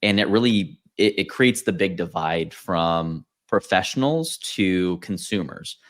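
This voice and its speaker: Very deep-voiced man hosting a podcast. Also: expressive. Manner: measured, explanatory, steering the talk. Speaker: podcast host. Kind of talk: casual podcast discussion.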